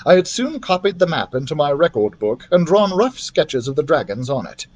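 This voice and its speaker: Animated man reading a children's story aloud. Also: on the high side, moderate pace. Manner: animated, acts out the characters' dialogue. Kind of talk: expressive read-aloud narration of a children's fantasy story.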